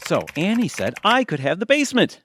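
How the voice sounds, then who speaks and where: A man's voice: sits high, huge pitch swings, fairly quick, a bit bright, a little hiss or hum, exaggerated voice. A man playing a goofy, guileless middle-aged husband in a comedy audio drama, eager and a little wry. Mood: eager, clueless. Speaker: voice actor playing the lead. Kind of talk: community audio theatre comedy acting.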